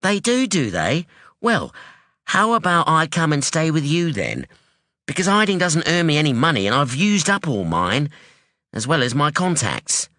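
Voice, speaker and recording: Casting: man doing a blunt, defiant young woman in an audiobook, raised and lively, close dry sound. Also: fairly high pitch, moderate pace, no echo, voice pushed up, exaggerated voice. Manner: blunt, challenging, insistent. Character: sharp, defiant young woman, street-tough daughter. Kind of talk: audiobook dialogue.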